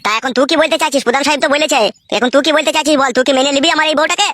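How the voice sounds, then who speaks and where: A woman's voice: high-pitched, fairly even intonation, very fast, fairly bright tone, no echo. A woman playing a crude, swaggering husband in a comedy cartoon, with a coarse, bossy way of talking. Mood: demanding. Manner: coarse, brash, bossy, swearing. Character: crude, swaggering young husband with two wives.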